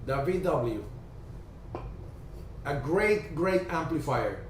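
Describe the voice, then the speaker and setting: Male host, storyteller, slightly high voice, roomy video-call sound. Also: expressive. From Cuba. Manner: chatty storyteller, halting restarts. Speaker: ham radio livestream host. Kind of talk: casual group video chat. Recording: distant roomy video-call audio, faint hiss.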